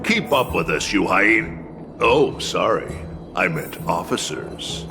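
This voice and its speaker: A sly old warrior mentor from a fantasy game, with a very deep voice that swings expressively as he teases and spins tales. He takes his time over each grand phrase, with music playing underneath. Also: lively intonation, gravelly, exaggerated voice. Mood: teasing, scornful. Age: older. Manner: deliberate, grandiose, teasing. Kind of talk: theatrical fantasy game acting.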